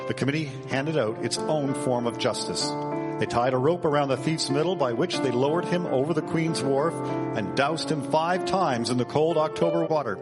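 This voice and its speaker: A man's voice: on the high side, moderate pace. A man giving scripted historical narration as a narrator. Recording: loud guitar music behind voice.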